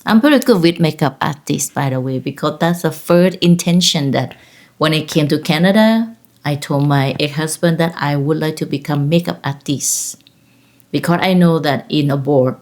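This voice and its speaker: A woman's voice: deep voice, normal speed, darkish tone. A woman in her fifties from Thailand with a Thai accent, telling personal stories in a rambling, laughing way. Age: 50s. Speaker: vlogger. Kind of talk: casual personal storytelling.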